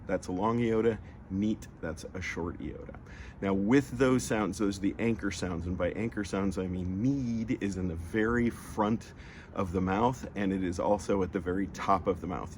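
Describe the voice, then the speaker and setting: Man, low voice, patient teacher, slow sound demonstrations, faint background hiss. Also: darkish tone. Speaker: language teacher. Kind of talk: explanatory lesson.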